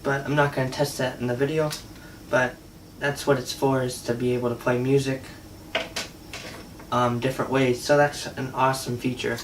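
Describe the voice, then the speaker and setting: A man's voice: mid-range voice, level tone, moderate pace, background noise. A man giving a casual, unscripted product demo in a hesitant, conversational way. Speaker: product reviewer. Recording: distant mic, faint music at times.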